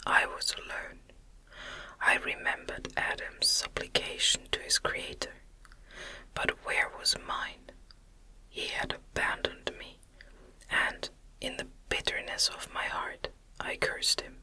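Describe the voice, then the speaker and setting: A woman narrating a gothic novel as ASMR in a breathy, unvoiced whisper, with gentle, deliberate phrasing.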